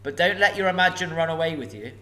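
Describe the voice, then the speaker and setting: A man voicing a sly, menacing old male dragon, slow and mocking. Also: on the high side, animated, pushed and bright, a little hiss or hum, pitched above the performer's own voice. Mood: sneering.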